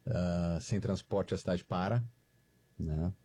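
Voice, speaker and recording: A man from Brazil, a company guest, answering in halting speech full of fillers. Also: deep voice, steady tone, mellow, dry recording. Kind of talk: interview answers.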